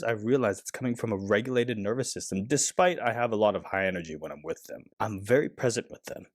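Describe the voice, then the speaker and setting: A young adult man giving an earnest, measured and reflective personal monologue as a self-help speaker. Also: medium pitch, no room sound, studio quality.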